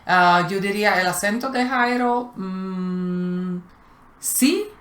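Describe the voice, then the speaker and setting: A woman's voice: mid-range voice, slow, fairly bright tone. A woman speaking, co-hosting a casual conversation; she talks thoughtfully and hesitates often. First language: Slovak. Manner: thoughtful, hesitant, many fillers. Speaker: co-host. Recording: echoey room.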